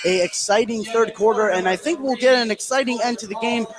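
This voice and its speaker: A man's voice: very high voice, brisk. An American man doing live play-by-play commentary of a basketball game. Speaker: play-by-play announcer. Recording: clear gym noise behind live commentary. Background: noisy basketball gym.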